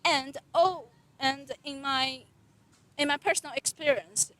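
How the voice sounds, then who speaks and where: A young woman speaks earnestly and deliberately, sometimes restarting a phrase. Her voice sits a little high. She sounds like a doctor answering interview questions. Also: fairly even intonation, fairly bright tone. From Taiwan. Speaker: resident doctor.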